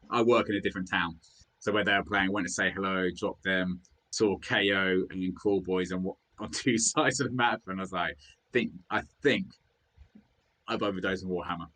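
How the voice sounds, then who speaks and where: A man with a British accent hosting a casual hobby chat, chatty and quick with jokes, laughing often. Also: sits low, bright, forceful tone. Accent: British English. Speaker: podcast host.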